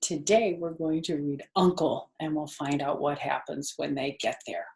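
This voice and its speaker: A woman's voice: deep voice, steady tone, moderate pace, vocal fry, a little mellow. An older woman reads a children's book aloud expressively, in a grandmotherly way, with chatty explanations for young listeners. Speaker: storyteller reading to children. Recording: echoey room sound.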